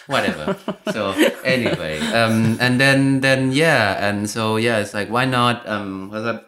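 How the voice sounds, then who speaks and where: A young man speaks in a very low voice, stopping and restarting often with lots of fillers. His talk is loose and conversational, circling around his thoughts before landing on them. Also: a little slow, fairly bright tone. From Thailand. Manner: hesitant, many fillers and restarts. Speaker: guest. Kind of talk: casual conversation.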